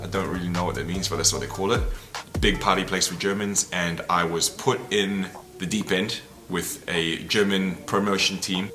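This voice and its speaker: A man, telling his life story to camera in a candid, reflective, casual way. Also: low-pitched. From New Zealand. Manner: reflective and candid, loose and conversational. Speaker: travel content creator. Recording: voice over loud background music. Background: music.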